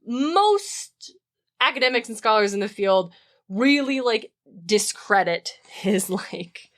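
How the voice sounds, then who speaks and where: A woman's voice: fairly high pitch, lively intonation, takes it slowly. A woman co-hosting a podcast, explaining research in a casual, wry, opinionated and informal way. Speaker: podcast co-host.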